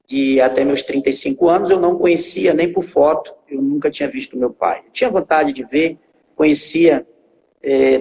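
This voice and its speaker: A man in his forties telling his life story as a guest pastor in a live stream, speaking haltingly with many filler pauses and repeated words. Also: a little high, creaky, a little mellow, in a large hall, slight background noise, rough recording. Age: 40s.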